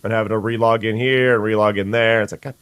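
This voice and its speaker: A young adult streamer, sniffly today, chatting casually with viewers and restarting sentences often. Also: male voice, on the low side, steady tone, quick pace, fairly bright tone, clear, resonant tone, no echo. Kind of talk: casual gameplay chat.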